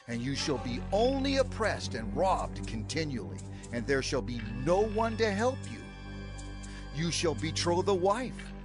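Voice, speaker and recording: A man voicing an aged prophet solemnly warning his people, declaiming in a grave, rhythmic cadence over a music underscore. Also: mid-range voice. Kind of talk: dramatized scripture reading.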